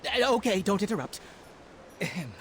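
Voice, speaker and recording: A man's voice: high-pitched, sing-song, moderate pace, a little hiss or hum. A man voicing a grandiose storyteller in a video game, telling legends in a formal, dramatic, declaiming manner. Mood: flustered. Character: grandiose male storyteller of ancient legends, easily flustered.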